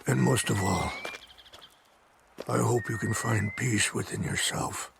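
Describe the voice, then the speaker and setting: An actor playing a solemn, gentle older chief in a cinematic video game, husky and measured in his speech. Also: on the low side, background noise, heavily acted. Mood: sorrowful, hopeful.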